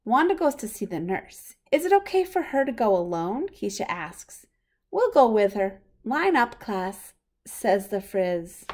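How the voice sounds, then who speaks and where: A woman's voice: medium pitch, sing-song, a little slow, a little mellow. Woman reading a children's storybook aloud. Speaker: reader of a children's picture book read-aloud.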